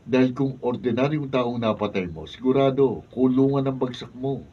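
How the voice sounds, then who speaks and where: A man's voice: medium pitch, normal speed, breathy edge, natural voice. A man playing a matter-of-fact, admonishing friend in a Filipino radio drama. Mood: steady, advising. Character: steady, advising male buddy of the motorist. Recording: distant dull video sound, faint noise.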